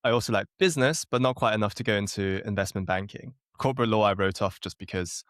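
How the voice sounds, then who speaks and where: A man in his late twenties from Germany, a podcast guest talking in a relaxed, self-deprecating way with a chuckle now and then. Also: on the low side, fast talker, no room sound, studio quality. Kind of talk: casual interview chat.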